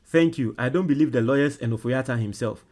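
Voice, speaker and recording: This is a Ghanaian man with a Ghanaian English accent who hosts a political show on social media. His voice sits at a middling pitch. He reads comments with loud emphasis and switches to halting, quiet dictation as he types an email.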